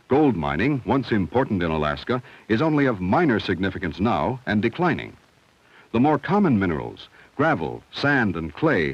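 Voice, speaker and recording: A man reading scripted narration for a 1970 educational film in a measured, instructional, clearly enunciated way. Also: lowish voice, dry recording. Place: studio voice-over. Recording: dull, dry vintage film soundtrack.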